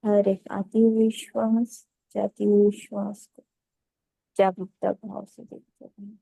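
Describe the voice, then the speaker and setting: Very mellow woman guiding meditation. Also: mid-range voice, creaky, no echo, low-quality recording. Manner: calm, unhurried, short pause-separated phrases. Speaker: meditation guide. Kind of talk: guided meditation, slow spoken instructions.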